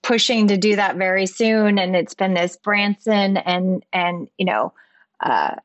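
A woman's voice: mid-range voice, measured pace, dry recording, dull audio. A woman in casual conversation, musing aloud and repeating words as she gathers her thoughts.

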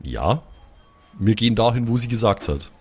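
A man's voice: on the low side, expressive, normal speed, darkish tone, slightly rough. A man improvising as a player in a tabletop role-playing game, chatting casually in colloquial slang.

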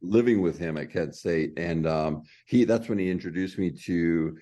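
A middle-aged man being interviewed, answering in a relaxed, agreeable way. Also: low-pitched, moderate pace. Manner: short, agreeable replies. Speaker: guest. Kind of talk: casual interview chat.

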